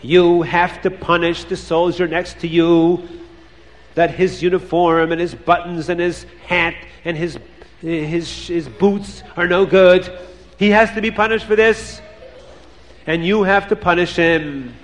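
A man voicing an imperious Tsar who screams commanding, drawn-out questions at his soldiers, in a storytelling performance. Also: high voice, steady tone. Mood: stern, commanding. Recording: long hall reverb.

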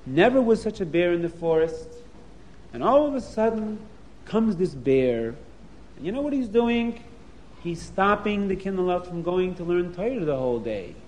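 Wise old storybook rabbi, steady and soothing, voiced by a male storyteller. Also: high-pitched, normal speed, poor audio. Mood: reassuring. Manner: calm, reassuring. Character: calm, wise, holy rabbi.

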